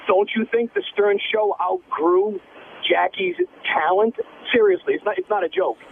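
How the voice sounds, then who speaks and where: A man calling a radio show over a noisy, tinny phone line, complaining bluntly in a creaky voice. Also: sits high, sing-song, moderate pace. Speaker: radio caller. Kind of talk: animated call-in opinion. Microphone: telephone.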